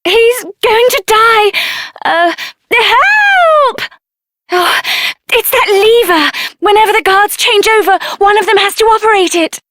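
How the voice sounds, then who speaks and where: A woman voicing a spirited, headstrong young heroine in a fantasy video game, speaking in an earnest, expressive, plain-spoken way. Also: very high-pitched, takes it slowly, a little hiss or hum, heavily acted. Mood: urgent, alarmed. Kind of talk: video game character voice acting.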